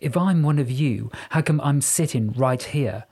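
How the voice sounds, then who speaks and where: A defiant young woman in an audiobook story, as played by the narrator, frustrated and emphatic. The voice is breathy and exasperated, with music running underneath. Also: medium pitch, a little slow, warm tone.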